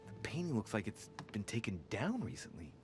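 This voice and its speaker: An actor playing an inquisitive young man investigating a mystery in a video game, musing aloud in a deliberate, theatrical way. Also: fairly low pitch, very animated, noisy background. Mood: intrigued. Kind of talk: dramatic game narration, thinking aloud.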